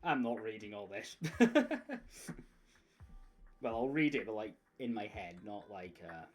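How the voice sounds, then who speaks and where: A woman's voice: rumbling low, unhurried. A woman streamer casually reacting to a game in an informal, sweary, giggly manner. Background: game music.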